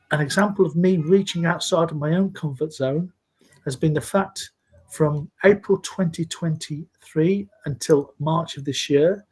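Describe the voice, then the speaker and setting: A man in his sixties from Scotland, speaking in a measured, earnest way as a guest in a live video-call interview. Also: a little high, a little mellow, slightly rough, low-quality recording. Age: 60s. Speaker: interview guest, safety leader.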